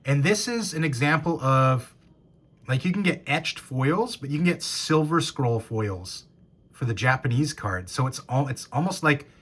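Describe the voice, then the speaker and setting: A hoarse, raspy man in his thirties, a game store owner, chatting casually and excitedly as he opens packs and reads out cards. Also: mid-range voice, unhurried. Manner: enthusiastic, reads card names and rules aloud. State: hoarse after a cold. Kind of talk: casual box-opening commentary.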